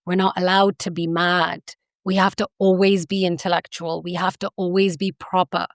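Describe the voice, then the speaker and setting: A woman's voice: lowish voice, little pitch movement, moderate pace, no echo, clean studio sound. A woman from England speaking as an academic guest in an interview, earnest and impassioned, often saying "you know". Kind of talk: conversational interview.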